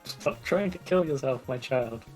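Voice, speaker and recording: A man performs a motherly monster guardian from a video game, gentle yet stern and pleading. The delivery is slow and solemn, with game music playing behind it. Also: mid-range voice, natural voice. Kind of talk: dramatic reading of game dialogue.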